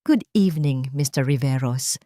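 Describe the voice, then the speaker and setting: A woman voicing a poised wronged wife in a romance story, calm, clear and polite with a cutting edge. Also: very deep voice, normal speed, no room sound, studio quality. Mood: sweetly cutting. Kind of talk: storybook romance drama dialogue.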